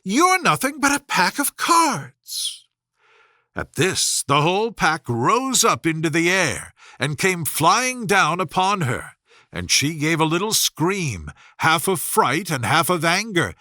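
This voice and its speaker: A man reading a children's story aloud as a scripted, expressive narration. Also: a little high, unhurried, no room sound, clean studio sound. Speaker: audiobook narrator.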